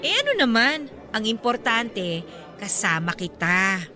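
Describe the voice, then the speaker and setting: A woman's voice: fairly high pitch, very animated, a little slow, pushed and bright, full character voice. An actress playing a cheerful, loving woman in her thirties in a radio drama, bubbly and affectionate. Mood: sweet, loving. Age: 30s.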